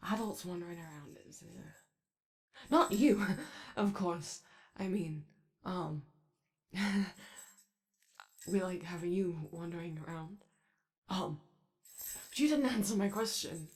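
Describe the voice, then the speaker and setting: Slow, creaky, anxious robot played by a woman. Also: medium pitch. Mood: hesitant, friendly. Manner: halting, hesitant, rambling, eager to please. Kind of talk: ASMR character roleplay.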